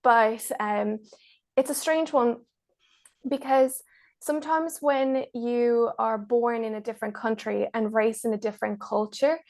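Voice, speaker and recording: A woman's voice: fairly high pitch, steady tone, unhurried, a little mellow. A woman, chatting casually as a podcast guest. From Ireland, born in Mexico. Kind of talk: casual interview chat.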